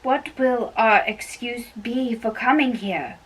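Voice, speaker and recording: Gloomy lovesick youth for stage play, read by a woman: highish, slow, plaintive, distant mic. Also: a bit bright, breathy edge, full character voice. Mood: mournful. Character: sad lovesick young man, gloomy and reluctant. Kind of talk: amateur dramatic reading of a stage play.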